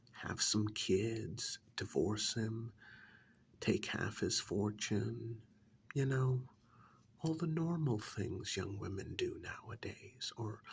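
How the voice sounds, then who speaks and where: A man playing a coldly patronizing serial killer in a soft-spoken ASMR role-play, breathy and half-whispered, with condescending, deliberate delivery. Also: fairly low pitch, slight background noise, full character voice. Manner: slow, deliberate, condescending, drawn-out pauses. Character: menacing male serial killer, coldly patronizing to his captive.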